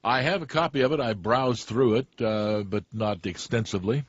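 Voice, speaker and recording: A man hosting a call-in radio talk show, speaking in a dry, opinionated, measured way. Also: on the low side, breathy edge, no echo. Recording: dull dry studio radio sound.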